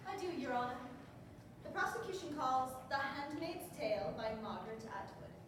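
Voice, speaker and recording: A woman's voice: high voice, big pitch swings, normal speed. A woman playing a prim, righteous prosecutor in a stage courtroom drama, cross-examining in a pointed, disapproving, sarcastic way. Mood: scornful, accusing. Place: live stage performance in a roomy venue. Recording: echoey hall, distant mic, noisy room.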